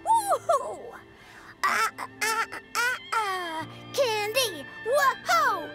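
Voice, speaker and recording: A woman voicing an eager, excited little child in a video game. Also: very high voice, takes it slowly, music in the background, exaggerated voice. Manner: bubbly and squealing. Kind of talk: video game dialogue acting.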